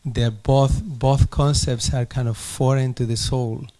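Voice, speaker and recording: A man reflects aloud in a group discussion. His tone is dark and very even, at a middling pitch. He is tentative, stopping and restarting while he shapes the idea. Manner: tentative, halting.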